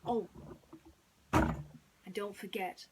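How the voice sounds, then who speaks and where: A woman hosting and narrating bedtime stories in a gentle storytelling style. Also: medium pitch, steady tone, extremely slow, very noisy.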